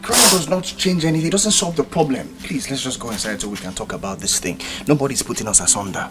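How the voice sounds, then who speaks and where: A man's voice: mid-range voice, fast talker, over music, natural voice. A Nigerian man acting a proud, loyal young man of little means, earnest with his sweetheart. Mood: soothing, tender. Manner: earnest, pleading. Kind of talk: screen drama acting.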